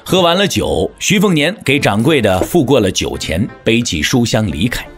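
A man narrating an audio drama as scripted storytelling, in a measured, theatrical manner. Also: medium pitch, very animated, faint music behind.

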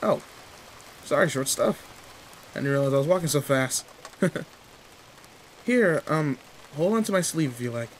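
A man's voice: fairly high pitch, measured pace, long pauses, slight background noise. A man playing a tall, protective, secretly sweet teenage delinquent in an intimate roleplay audio drama, talking in casual, teasing slang. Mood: apologetic, teasing. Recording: steady rain ambience behind voice. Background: rain in a forest.

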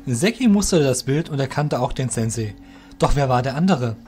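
A man's voice: medium pitch, expressive, normal speed, a little mellow, soft background music. Male narrator of a fantasy tale. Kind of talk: scripted audiobook-style narration of a fantasy audio drama.

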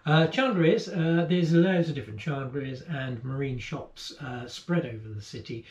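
A man's voice: medium pitch, normal speed, a little mellow. Man, 50s, sailing host, casual explaining, echoey cabin, lo-fi sound. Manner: chatty, explanatory, trailing off at line ends. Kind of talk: casual travel guide chat. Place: boat cabin, seated indoors.

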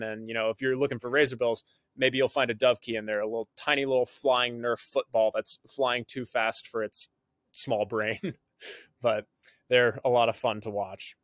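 A man's voice: mid-range voice, no echo, studio quality. A young man, co-hosting a birding show, explaining at ease over a video call from his living room, with plenty of fillers. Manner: relaxed, loose, lots of fillers. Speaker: birding podcast co-host. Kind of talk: casual explanatory chat. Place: living room with framed pictures. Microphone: video call webcam.

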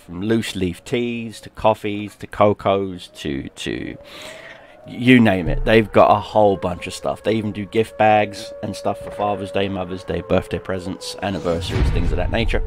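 A man's voice: on the low side, moderate pace, faint music behind. A man in his thirties with a Yorkshire accent, giving chatty, joking commentary on a tank game from a small room at home. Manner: chatty, jokey, sings and hums along. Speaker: gaming streamer. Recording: game sound under the voice.

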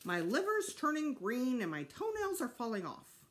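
A woman voicing an excitable, demanding, whiny preschool boy for a children's storybook. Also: fairly high pitch, normal speed, pitched above the performer's own voice. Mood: showing off. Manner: whiny, insistent, childlike exclaiming. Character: excitable, demanding preschool boy, loud whining little brother. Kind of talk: children's book read aloud.

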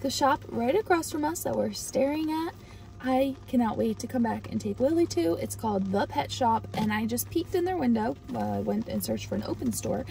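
A woman's voice: on the high side, expressive, moderate pace, vocal fry, warm tone, poor audio. A young woman in her twenties chatting casually with her husband inside a car at night for their travel vlog. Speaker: co-host.

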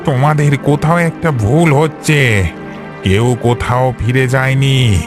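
A man voicing a stern, ominous village caretaker in a horror cartoon, speaking gravely. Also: mid-range voice, steady tone, music in the background, natural voice. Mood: grave warning. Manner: slow, grave, warning.

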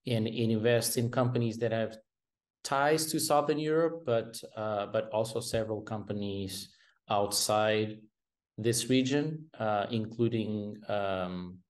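A man's voice: on the low side, darkish tone. A man, a venture capital guest talking over a video call in a hesitant way, with many restarts. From Portugal. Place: home room with painting behind.

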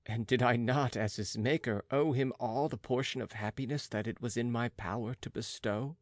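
A man reading literary narration as an audiobook narrator, measured and dramatic. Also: mid-range voice, steady tone, no room sound, studio quality.

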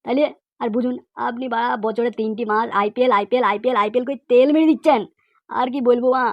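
Woman as outspoken cartoon pupil, high-pitched, quick-fire, preachy, emphatic repetition, comic monologue. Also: fairly even intonation, no echo, lo-fi sound, close to an everyday voice. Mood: indignant, preachy. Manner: emphatic, repetitive, rhetorical questioning.

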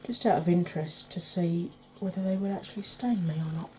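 A middle-aged woman with a Welsh accent giving an ASMR makeup tutorial at home, talking softly and often in a whisper, chatty with a dry sarcastic streak. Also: lowish voice, a little fast, slight background noise, low-quality recording. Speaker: beauty YouTuber. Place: indoors at home, face in close-up.

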